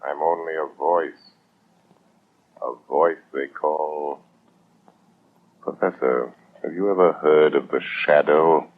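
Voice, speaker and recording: Old muffled radio recording; unseen male avenger, extremely deep, slow, mocking menace. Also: fairly even intonation, full character voice. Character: mocking invisible avenger taunting a villain. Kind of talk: 1930s radio acting. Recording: muffled, band-limited 1930s radio recording.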